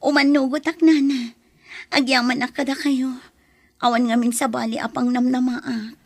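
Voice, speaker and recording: A woman's voice: high-pitched, level tone, normal speed, no echo. An actress with an Ilocano accent playing a meek, distraught pregnant young woman in an audio drama, plaintive and pleading.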